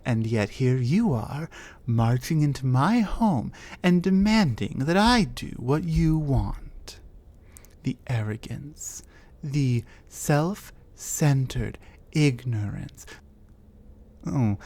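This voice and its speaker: A woman voicing a large, territorial female cave troll who speaks with scorn and lectures in a deliberate way, in an ASMR audio roleplay. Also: sits low, mellow, exaggerated voice. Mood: lecturing.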